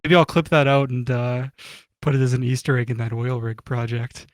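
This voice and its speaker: A man hosting a podcast, reading out listener questions in a relaxed, casual way. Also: medium pitch, moderate pace, no echo, studio quality. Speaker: podcast host.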